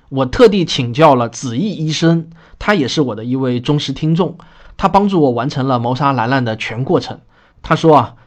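A man hosting a podcast, addressing listeners in an orderly, explanatory way as he presents scripted show notes. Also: mid-range voice. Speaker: podcast host and radio drama writer. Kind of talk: scripted spoken show commentary.

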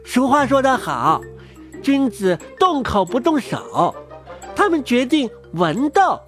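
High, lively woman telling a children's story. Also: takes it slowly. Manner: expressive. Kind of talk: scripted children's story narration. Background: music.

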